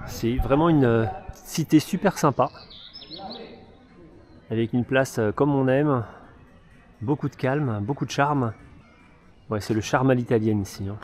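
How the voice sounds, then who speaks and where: A man's voice: mid-range voice, a little slow, long pauses, a little mellow. A man from France narrating a casual travel vlog, chatty and enthusiastic, with frequent fillers. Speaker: travel vlogger. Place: outdoors on a motorcycle trip through villages. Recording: background music.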